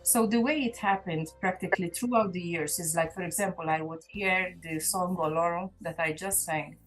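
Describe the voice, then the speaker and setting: A woman's voice: lowish voice, normal speed, rough recording. A woman, a gospel singer speaking as an interview guest in an earnest, reflective way, often restarting her sentences. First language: Maltese. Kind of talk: casual interview chat.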